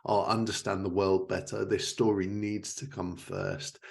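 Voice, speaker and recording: A man's voice: low voice, fairly even intonation, unhurried, creaky. A man hosting a casual podcast conversation about his work, speaking as a writer. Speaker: podcast host and fiction writer.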